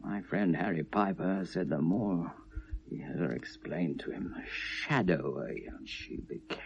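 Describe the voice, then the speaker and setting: An actor playing a tormented, obsessive lovelorn British man confessing to police, halting and bitter, shifting from hushed to anguished in a 1970s radio drama. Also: low voice, gravelly, dull audio. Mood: bitter, subdued. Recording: noisy vintage radio play recording.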